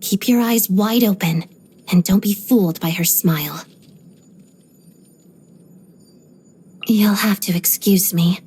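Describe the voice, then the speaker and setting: A young woman ballerina from a video game, with a soft, breathy voice that often thins toward a whisper. She speaks in a composed, measured way, polite but guarded. Beneath the discipline sits a proud, quietly haunted temper. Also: mid-range voice. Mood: cool, businesslike. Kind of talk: video game character voice lines.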